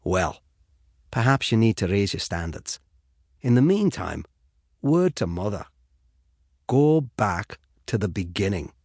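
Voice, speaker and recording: A man's voice: on the low side, big pitch swings, a little slow, pauses often, a little mellow, no echo, studio quality, voice pushed down, full character voice. A man voicing an eccentric, blunt and breezy golfer in his late fifties, with an encouraging streak, for an audiobook. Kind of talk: audiobook character dialogue.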